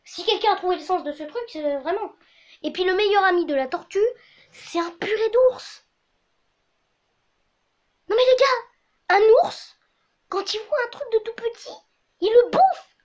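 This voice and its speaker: A woman with a high-pitched voice hosts a comic web show. She rants to camera in slangy, crude and exaggerated terms. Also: animated, a little slow, pauses often, muffled recording. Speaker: host of a comedy web show.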